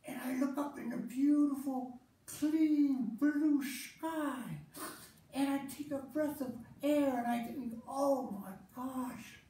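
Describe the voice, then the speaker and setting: A woman voicing a hearty, dreamy Rasta man who preaches peace in a slow, loud, drawn-out way on an outdoor festival stage. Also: sits high, a little hiss or hum. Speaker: festival performer. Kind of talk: comic stage monologue, visionary speech. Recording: echoey stage sound from a distant mic.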